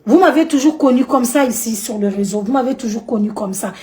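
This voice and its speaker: A woman's voice: fairly high pitch, a little fast, warm tone. An outspoken woman from Madagascar with a Malagasy accent, talking indignantly to camera in her vlog and repeating points for emphasis. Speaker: vlogger.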